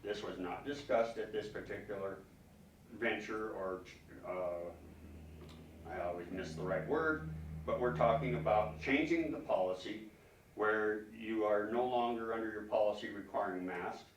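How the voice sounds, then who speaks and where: A man speaking as a concerned citizen at a public meeting, arguing emphatically and haltingly, often repeating words as he questions officials. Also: on the low side, a little hiss or hum. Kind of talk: public comment, argumentative questioning. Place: public meeting room, indoors. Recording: echoey room, distant microphone.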